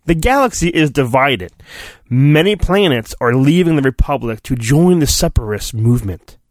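A man's voice: medium pitch, expressive, normal speed, no echo, studio quality. A man hosting a podcast, giving a comic, mock-earnest dramatic storybook reading with chatty asides and his own sound effects.

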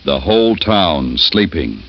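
A man's voice: extremely low pitch, big pitch swings, takes it slowly, very noisy. A man announcing a 1950s radio programme in a formal, dramatic style. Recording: muffled 1950s radio broadcast. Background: loud music.

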